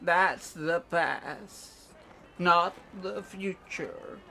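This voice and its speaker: An actor playing a foolish, flattering, long-winded man in a comedy audio drama, speaking in a pompous, muddled way. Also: high-pitched, extremely slow, creaky, exaggerated voice. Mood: indignant.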